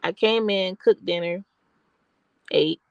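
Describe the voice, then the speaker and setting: A woman with a soft, quiet voice, sounding tired and a little stuffy. She rambles in loose, restarting sentences. Her voice is dry and close, with no room around it. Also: fairly low pitch, slow, gravelly, dry recording, dull audio. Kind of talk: casual late-night chat.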